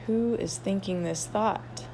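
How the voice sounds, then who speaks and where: A woman guiding a meditation, reading instructions in an unhurried way with long pauses. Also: mid-range voice, dark tone. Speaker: meditation guide at a wellness retreat. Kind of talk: guided meditation, read aloud softly. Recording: clearly noisy ambient background.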